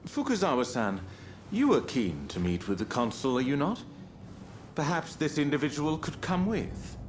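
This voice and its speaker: An actor playing a courteous, composed diplomat in a video game, speaking in a polite, formal way. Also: male voice, medium pitch, sing-song, normal speed, lightly acted. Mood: persuasive. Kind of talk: video game cutscene acting. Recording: clear background ambience noise.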